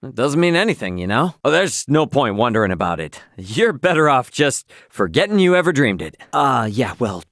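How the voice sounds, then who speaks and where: A man playing a brash, easygoing young spaceship crewman in a video game, with casual, slangy, expressive speech. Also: mid-range voice, moderate pace, hardly any pauses, dry recording, clean studio sound. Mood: exasperated, sheepish. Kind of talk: video game character voice acting.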